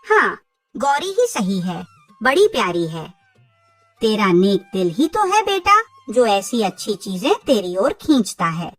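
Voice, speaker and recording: A woman playing a poor, loving, worried mother in a cartoon, tender, earnest and expressive. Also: mid-range voice, measured pace, no echo, far from the mic, faint music behind. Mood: fond. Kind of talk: cartoon moral-tale dialogue.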